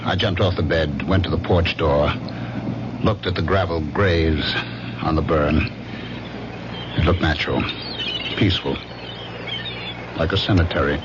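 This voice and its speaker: Low male lead for radio mystery: haunted killer confessing in deliberate fragments, muffled old recording with music underneath. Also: background noise. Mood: cold resolve. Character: haunted, confused man turned killer, coldly recounting his crime. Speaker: lead actor and narrator. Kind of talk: radio drama first-person narration.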